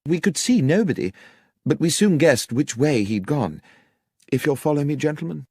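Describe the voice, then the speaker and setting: A man's voice: on the high side, lively intonation, moderate pace, a little mellow, slightly rough, dry recording, studio quality, pitched above the performer's own voice. A man voicing an earnest village vicar who recounts events in formal, wordy, precise sentences for an audiobook. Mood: earnest, explanatory. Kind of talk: audiobook character dialogue.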